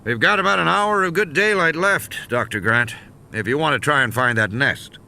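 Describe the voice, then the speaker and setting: A man's voice: on the high side, normal speed, gravelly, pushed and bright, a little hiss or hum, pitched above the performer's own voice, heavily acted. A man voicing a practical male game warden in an audiobook, matter-of-fact and explanatory. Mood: grave, warning. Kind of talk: audiobook character dialogue.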